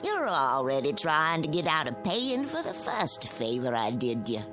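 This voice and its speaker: A woman's voice: sits low, very animated, a little slow, over music. An actress playing a sly, mocking old backwoods witch with a Southern US accent and a taunting drawl in a radio drama. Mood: taunting, cold.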